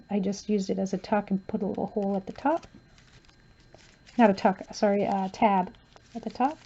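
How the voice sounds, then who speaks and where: A woman casually talking through a handmade journal she shows page by page, softly and with frequent fillers, her voice going hoarse as she loses it. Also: medium pitch, lo-fi sound. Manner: soft-spoken, relaxed, with frequent um and uh fillers. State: losing her voice, clearing her throat. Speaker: crafter showing her journal. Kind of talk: casual flip-through commentary.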